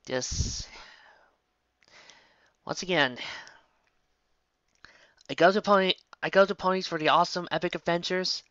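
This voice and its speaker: A woman's voice: sits low, fairly bright tone, dry recording. A woman reading fanfiction aloud and chatting casually between stories, in a dull lo-fi home recording. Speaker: fanfiction reader.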